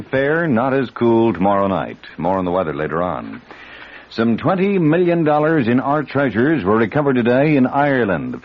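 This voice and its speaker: An American man reading a scripted hourly radio news bulletin in formal, clipped announcer style. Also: medium pitch. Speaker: radio newsreader. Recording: slightly dull vintage radio broadcast.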